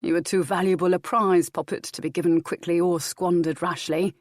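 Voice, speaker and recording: A woman voicing a protective royal duke, commanding yet kindly, with measured formal speech in a historical audiobook. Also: on the low side, fairly even intonation, no echo, clean studio sound, close to an everyday voice.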